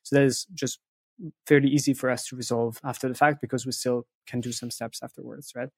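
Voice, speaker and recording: A man's voice: medium pitch, level tone, a little fast, vocal fry, dry recording. A man speaking as an interview guest, explaining things step by step in conversation and often checking in with "right?" and "you know". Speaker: interview guest, content writer who interviews experts.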